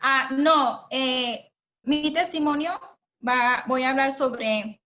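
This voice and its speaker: A woman's voice: high-pitched, fairly even intonation, slow pace. Chatty woman on a lo-fi call. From Mexico. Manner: warm, chatty, laughs easily. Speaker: invited speaker. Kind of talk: casual virtual interview. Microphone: video call. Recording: dull lo-fi video call audio.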